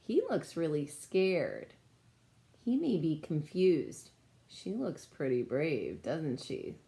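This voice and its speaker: A woman reading a picture book aloud as a teacher, pausing to explain and put questions to young children. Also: deep voice, big pitch swings, warm tone. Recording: echoey room sound.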